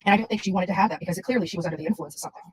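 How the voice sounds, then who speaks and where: A woman's voice: fairly low pitch, very fast, background noise. A woman chatting casually on a livestream in a blunt, rambling, insistent way.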